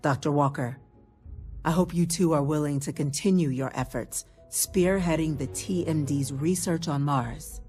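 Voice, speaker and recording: A woman playing a dignified senior government official in a video game, speaking formally with measured authority. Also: low voice, warm tone, faint music behind, lightly acted. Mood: formal, grateful.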